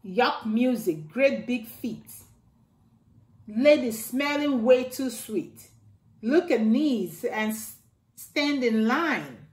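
A middle-aged woman reading a rhyming picture book aloud to children in a slow sing-song way, filmed indoors at home by a camera a few feet away. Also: on the high side, lively intonation. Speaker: storytime reader. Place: living room by a staircase, indoors. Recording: echoey room.

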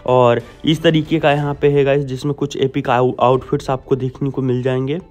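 A man's voice: mid-range voice, level tone, moderate pace, a little mellow. A young Indian man giving a casual, blunt video game update, talking informally to viewers as friends over a close mic. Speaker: gaming YouTuber. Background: background music.

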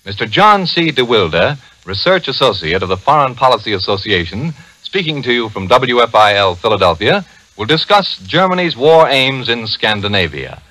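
A man's voice: medium pitch, big pitch swings, moderate pace, bright, forceful tone. A man announcing on 1940s radio, reading a scripted introduction in a formal, emphatic manner. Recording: dull 1940s radio broadcast recording.

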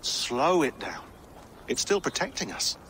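An actor playing a talkative, sardonic tech expert in a video game. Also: male voice, mid-range voice, unhurried, slight background noise. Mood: wry. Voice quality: sardonic, animated.

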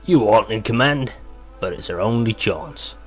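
A man plays a grave captain of a ship in an audio drama. His voice is on the low side. There is soft music in the background. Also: animated, moderate pace, lightly acted.

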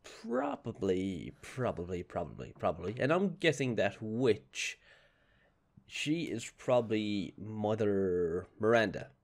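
A man in his thirties with a slightly raspy voice, talking on a headset mic as he plays a horror game and teases the characters. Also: on the low side, sing-song, slow. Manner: joking, teasing game characters. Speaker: gameplay streamer. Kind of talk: casual let's-play commentary.

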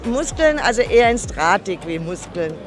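A woman's voice: mid-range voice, sing-song, normal speed. A woman in her fifties from Germany answering a street interview in a frank, matter-of-fact way. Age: 50s. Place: shopping street at night, outdoors. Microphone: handheld mic held by interviewer. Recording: street with music and crowd.

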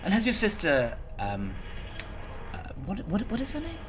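A man's voice: high voice, wildly swooping pitch, moderate pace, a little hiss or hum. A man playing an eccentric, troubled but resolute time-traveller in an audio drama, with a hesitant way of talking. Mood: brisk, curious.

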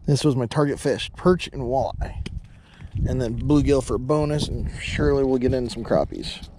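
A young man filming himself ice fishing outdoors on a frozen lake, chatting excitedly to viewers about each fish. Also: medium pitch, slight background noise. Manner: excited, chatty, quick to laugh. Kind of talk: casual play-by-play to viewers. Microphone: head-mounted action camera.

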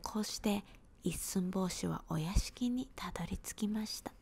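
A woman, giving a gentle, unhurried whispered ASMR storybook reading in a small room, close to a handheld recorder. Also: mid-range voice, creaky, slightly rough.

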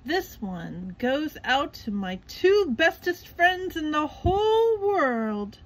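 A woman voicing an excitable, childlike young male sponge from a cartoon storybook, with exuberant, drawn-out exclamations. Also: sits high, pitched above the performer's own voice, heavily acted, rough recording. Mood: sentimental, merry.

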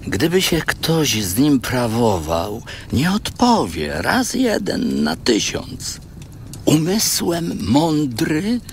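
An actor playing Job, an afflicted righteous man humbled before God, in a solemn, halting, dramatic audio Bible performance. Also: a little high, a little hiss or hum, full character voice.

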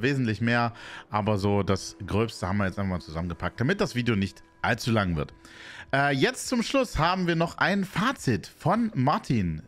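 A man in his thirties, hosting his airsoft channel, chatting to camera from a small gaming room on a studio mic, relaxed and full of filler sounds. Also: mid-range voice. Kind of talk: casual talk to camera. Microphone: studio mic on arm, headset.

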